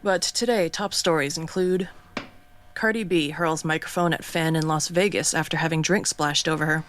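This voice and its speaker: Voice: medium pitch, steady tone, moderate pace, fairly bright tone, lightly acted. A performer playing a sarcastic radio co-host who trades insults and reads the news. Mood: newsy. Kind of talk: radio drama acting.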